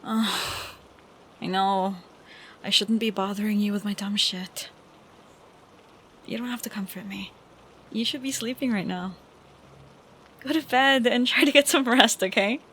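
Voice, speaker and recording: A young woman playing an affectionate, troubled college-age best friend in an intimate audio roleplay, speaking softly and hesitantly with sighs. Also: mid-range voice, close to an everyday voice. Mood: affectionate, wistful. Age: young adult. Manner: soft, hesitant, slow with sighs. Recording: steady background noise of rain. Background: rain sounds.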